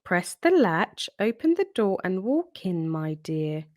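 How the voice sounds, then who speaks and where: A woman's voice: on the low side, big pitch swings, takes it slowly. A woman voicing a weak, ill and kindly old grandmother in a children's storybook. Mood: frail, kindly. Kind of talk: storybook read-aloud character.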